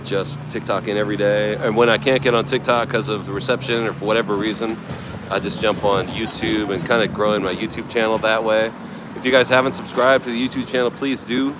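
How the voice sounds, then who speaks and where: A man's voice: on the low side, little pitch movement, a little fast. A middle-aged American man from California, rambling in a laid-back way as he livestreams and answers his viewers. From United States, California. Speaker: travel livestreamer. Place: outdoors at a night market by the beach. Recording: noisy outdoor street recording.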